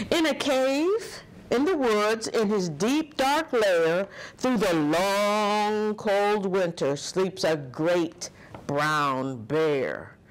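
Slow, animated middle-aged woman reading aloud. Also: mid-range voice, poor audio. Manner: slow, deliberate, dramatic. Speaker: children's story reader. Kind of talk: expressive picture-book read-aloud. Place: indoor office room. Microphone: lapel.

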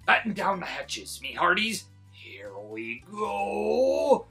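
A gruff, blustering pirate who drives a school bus in a children's storybook. His pirate talk is full of drawn-out growls and swings widely in pitch. He takes his time, and music plays behind him. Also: huge pitch swings, sharp tone, heavily acted. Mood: rousing, hearty.

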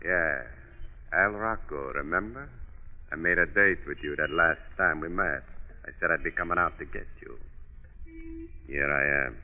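A man's voice: extremely low pitch, moderate pace, long pauses. An actor playing a menacing, cocky escaped convict in 1940s radio style, with slangy, sneering tough-guy talk. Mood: gloating menace. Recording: tinny, muffled old radio recording.

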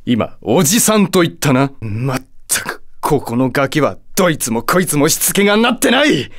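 A man's voice: on the high side, very animated, normal speed. A man voicing a haughty, hot-tempered, lovestruck demon lord in a video game, with a theatrical, condescending delivery. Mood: irritated, commanding. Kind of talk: Japanese video game character voice acting.

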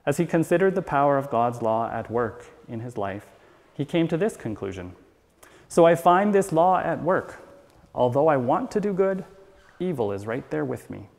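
A middle-aged man reading a written sermon aloud as a church elder, in a measured, earnest manner. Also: medium pitch, sing-song, vocal fry, a little mellow. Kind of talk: read sermon. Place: church sanctuary at a wooden pulpit, indoors. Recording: reverberant church hall.

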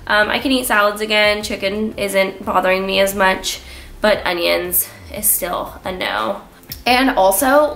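A young woman with a blocked, stuffy nose talks casually to a camera in a small room indoors. She rambles in an easy, chatty way and scatters "like" through her sentences, while faint music sits low in the background. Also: vocal fry, a bit bright. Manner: chatty, lots of filler "like". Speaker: vlogger. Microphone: camera on a tripod, framed from the waist up.

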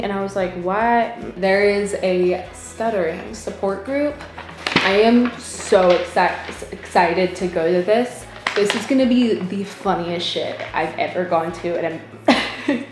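A woman's voice: mid-range voice, echoey room. A woman, talking candidly and wryly in a casual personal update vlog. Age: 20s. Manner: candid, wry, self-deprecating. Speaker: vlogger.

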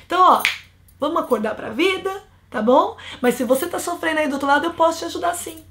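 A woman's voice: very high voice, quick pace, background noise. A woman in her thirties from Brazil, giving blunt, scolding relationship advice straight to camera from a small bedroom. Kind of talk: casual advice monologue to camera.

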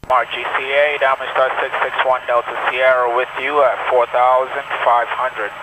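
A man's voice: medium pitch, flat delivery, normal speed. A man flying a small plane, talking in clipped aviation radio phrases and cockpit self-talk through a headset. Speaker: student pilot flying solo. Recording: muffled headset audio with loud engine noise.

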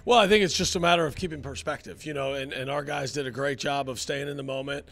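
Gravelly middle-aged football coach, halting. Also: male voice, mid-range voice, a bit bright. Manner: halting, many fillers and restarts. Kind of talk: postgame interview. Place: indoor locker room area. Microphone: handheld mic held by interviewer.

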